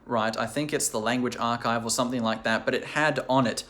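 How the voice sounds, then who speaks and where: A man's voice: mid-range voice, fairly even intonation, brisk, a bit bright. A man hosting a language channel, talking casually and enthusiastically to camera with lots of "like" and "um" fillers. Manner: chatty, rambling with many "like" and "um" fillers; enthusiastic and curious.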